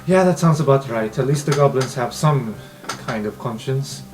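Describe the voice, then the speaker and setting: An earnest academic acted by a man in an improvised tabletop game. He speaks formally and deliberately, wary yet loyal, with faint music underneath. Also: medium pitch, close to an everyday voice. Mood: thoughtful, dry. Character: earnest, conscientious male history professor, wary but loyal.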